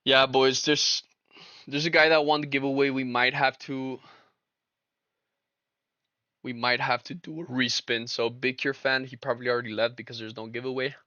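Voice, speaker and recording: A man's voice: mid-range voice, flat delivery, moderate pace, pauses often, sharp tone, dry recording, dull audio. A young man hosting a casual trading live stream, laid-back and slangy, talking to his chat. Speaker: livestream trader and host.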